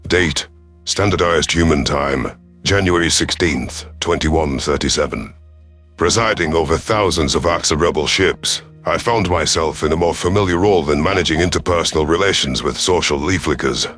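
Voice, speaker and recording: A deep-voiced, gruff reptilian war commander acted by a man narrates a sci-fi audio story. He is proud, stern and sardonic, with faint music beneath the voice. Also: normal speed, fairly bright tone. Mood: stern, measured. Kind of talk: first-person scripted audio story narration.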